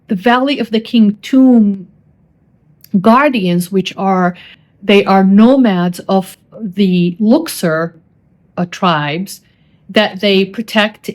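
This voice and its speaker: Woman with an Arabic accent, solo podcast host. Animated and quick, restarts her sentences often. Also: mid-range voice. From Saudi Arabia. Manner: animated, fast-talking with frequent restarts and fillers.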